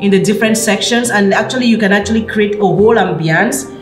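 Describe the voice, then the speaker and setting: A young woman from Cameroon, a PhD student walking through university lab rooms and explaining them in a relaxed way with frequent "like" fillers. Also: mid-range voice, quick pace. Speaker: PhD student giving a campus tour. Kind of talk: casual guided tour talk. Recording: echoey indoor rooms. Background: background music.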